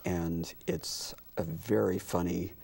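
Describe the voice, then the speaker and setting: A man talking casually as an interview guest, in a halting, conversational way. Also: sits low, steady tone, vocal fry, a little mellow, husky. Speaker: interview guest, community theater director.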